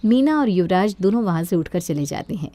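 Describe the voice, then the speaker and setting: A woman's voice: fairly low pitch, animated, fairly quick, mellow. A woman narrating a scripted children's moral story.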